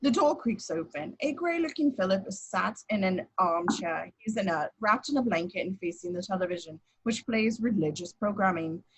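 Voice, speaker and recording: A woman's voice: mid-range voice, expressive, normal speed, hardly any pauses, breathy edge, low-quality recording. A woman reading out scripted action descriptions as narrator at an amateur table read.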